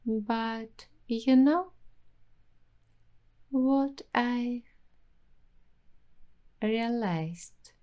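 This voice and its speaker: A woman hosting a soft-spoken ASMR roleplay, talking dreamily and intimately to the listener. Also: a little high. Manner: slow, dreamy, intimate, addressing the listener directly.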